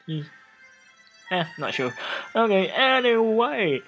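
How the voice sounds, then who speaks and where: Let's play narrator, mid-range androgynous voice, halting dramatic reading with stumbles, music behind. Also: mid-range voice, sing-song, fairly bright tone, highs cut off. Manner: halting, stumbles over read text and restarts. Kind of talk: casual game playthrough with dramatic reading. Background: background music.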